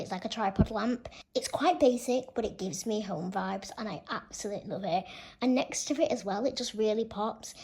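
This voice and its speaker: A young woman vlogger chatting casually through a room tour, gushing and filling her talk with "like", her voice a little croaky. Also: on the high side, a little mellow. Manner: chatty, gushing, says "like" a lot. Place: bedroom.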